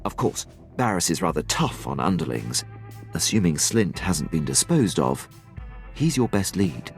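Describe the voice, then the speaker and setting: An actor playing a deferential, polite and wry military aide in a video game. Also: male voice, sits low, big pitch swings, a little slow, creaky, soft background music, heavily acted. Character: smooth-talking, deferential male military aide. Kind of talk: video game dialogue acting.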